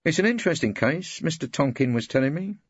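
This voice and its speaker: A man performs a brisk clerk in legal chambers for an audiobook. The delivery is businesslike and pitched up from a narrator's register. The recording is a bit muffled. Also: expressive, husky, no echo. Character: male barristers' clerk, brisk, matter-of-fact. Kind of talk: audiobook character dialogue.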